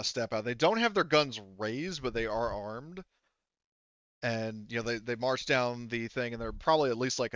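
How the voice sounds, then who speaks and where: A man improvising narration as a tabletop game master, casual and halting as he thinks aloud. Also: mid-range voice, fairly bright tone, smooth, no room sound, muffled recording.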